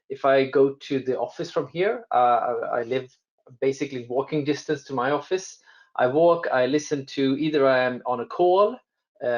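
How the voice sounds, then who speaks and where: Swedish man, telecom guest, halting and restart-heavy delivery, dull call audio. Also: medium pitch. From Sweden. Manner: hesitant, many restarts and fillers. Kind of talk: conversational interview answers.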